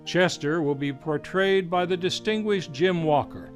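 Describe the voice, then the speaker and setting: An American man announces a radio show in a grand, theatrical old-time style. His voice sits fairly high for a man. Guitar music plays clearly behind his studio narration. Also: measured pace.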